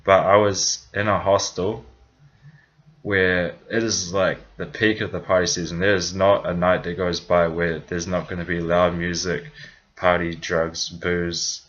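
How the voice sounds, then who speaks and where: A man's voice: low-pitched, flat delivery, unhurried, fairly bright tone, lo-fi sound. A young man from New Zealand with a New Zealand accent, telling a personal story in a rambling, casual way, full of fillers and restarts. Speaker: vlogger.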